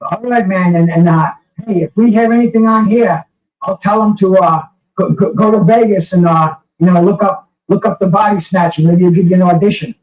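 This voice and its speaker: A slightly dull, roomy recording carries an older man a little way from the mic. His voice is fairly high, and he interviews casually and admiringly, often stammering over repeated words. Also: steady tone, fairly quick, a little mellow. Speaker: host interviewer, boxing fan. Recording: muffled, roomy, distant from the mic.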